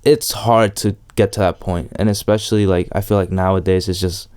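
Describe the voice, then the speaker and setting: A man's voice: sits low, fairly even intonation, a little fast, a little mellow, clean tone. A young man from El Salvador who lives in New York, chatting casually as an interviewee in an easygoing, slangy, hedging way. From El Salvador, long resident in New York. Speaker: interviewee, amateur BMX rider. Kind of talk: casual chat.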